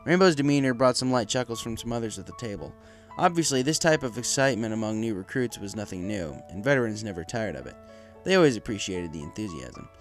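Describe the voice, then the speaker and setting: A man's voice: on the low side, lively intonation, fast, hardly any pauses. A man reading scripted fan fiction as its narrator, with music behind his voice.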